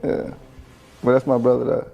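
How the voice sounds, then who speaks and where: A man's voice: medium pitch, monotone, normal speed, creaky. A man chatting casually and flirtatiously in a teasing, slangy way. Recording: muffled video clip with music.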